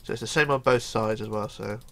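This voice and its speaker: Hoarse man with a gravelly voice. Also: on the low side, fairly even intonation, a little fast, bright, forceful tone. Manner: chatty, counts blocks aloud, often trails into mumbling. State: hoarse with a cold. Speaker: tutorial host. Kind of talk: casual game build tutorial. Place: home computer desk.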